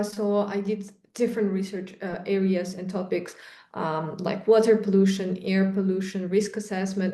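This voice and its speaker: A woman's voice: medium pitch, mellow. A young woman from Kazakhstan with a Kazakh accent, answering interview questions about her research in an explanatory way, with frequent ums and restarts. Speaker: microplastics researcher, guest. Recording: echoey room.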